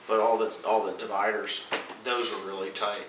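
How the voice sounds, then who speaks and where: A man who farms, telling rambling, casual stories among friends in a folksy, colloquial and animated way. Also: fairly low pitch, normal speed. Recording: roomy, distant mic, noisy background.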